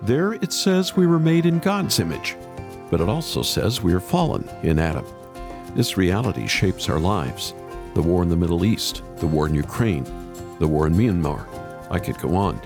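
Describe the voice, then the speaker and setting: Expressive male podcast host with gravelly edge. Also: deep voice, moderate pace, music in the background. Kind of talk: scripted radio-style introduction and interview.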